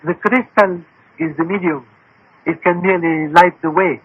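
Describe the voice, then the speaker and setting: A husky, solemn fortune teller. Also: male voice, high voice, fairly even intonation, normal speed. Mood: solemn, mysterious. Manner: solemn, cryptic, formal, courteous speech. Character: grave, deferential male crystal-gazing fortune teller. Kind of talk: 1970s-80s radio drama acting. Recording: dull, lo-fi old radio broadcast.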